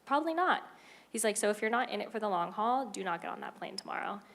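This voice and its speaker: Chatty twenty-something woman on a panel, rambles with lots of likes, mid pitched. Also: fast talker, creaky. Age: 20s. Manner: chatty, filler-heavy, animated. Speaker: Broadway cast member. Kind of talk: panel talkback.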